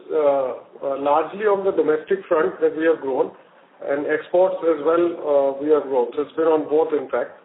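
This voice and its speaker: Man from management on a concall, careful hesitant answers, tinny line, faint noise. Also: a little high. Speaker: company executive answering analysts. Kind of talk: earnings call question-and-answer. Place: conference phone call. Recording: roomy, narrowband phone line, faint noise.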